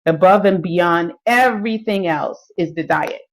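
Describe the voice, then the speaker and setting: Lady fitness coach talking thru a live stream, lowish voice, lots of ums. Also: a little slow. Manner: many fillers and restarts, relaxed explaining.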